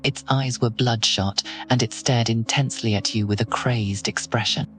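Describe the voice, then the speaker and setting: A man narrating a horror audio roleplay in the second person, with a steady, ominous delivery. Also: mid-range voice, normal speed, soft background music.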